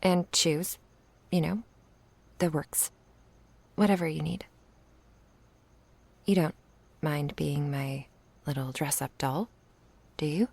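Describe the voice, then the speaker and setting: A woman playing an affectionate young witch in an intimate ASMR roleplay, speaking softly, tenderly and playfully, often in a whisper. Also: low-pitched, steady tone, slow, pauses often, dry recording, exaggerated voice. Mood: playful, doting. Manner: soft, breathy, tender murmuring with little hums.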